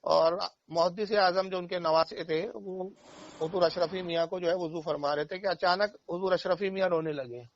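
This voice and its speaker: A man's voice: high-pitched, fairly even intonation, moderate pace. A man speaking as an Islamic cleric on a phone call, explaining religious matters and anecdotes in an emphatic way, repeating key phrases. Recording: dull, dry phone call recording.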